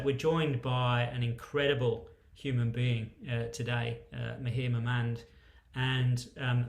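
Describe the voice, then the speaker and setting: Male interview host, effusive and emphatic in introductions, mid-pitched, roomy echo on the recording. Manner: effusive, frequent emphatic superlatives. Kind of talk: spoken introduction of an interview guest. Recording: roomy, echoey sound.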